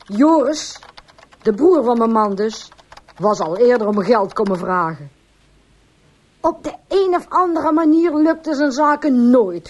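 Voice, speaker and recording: An anxious, pleading farm wife in a radio drama. Her voice is high and swings widely in pitch. She tells things earnestly and with feeling. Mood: earnest, explaining. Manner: earnest, emotional storytelling. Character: distraught, pleading farmer's wife confessing all.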